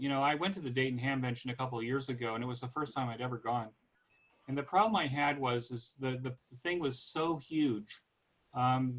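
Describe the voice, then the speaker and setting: A man over fifty with a North American accent, giving an informal explanatory presentation in a halting way, pausing to search for words. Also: medium pitch, a bit bright, low-quality recording. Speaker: podcast host giving a club presentation.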